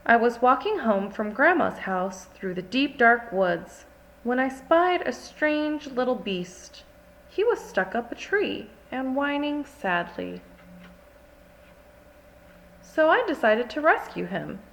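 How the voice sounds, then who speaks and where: A woman reading a children's story aloud as narrator, with expressive, deliberate delivery. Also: a little high, gravelly, a little hiss or hum, poor audio. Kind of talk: scripted read-aloud narration for young children.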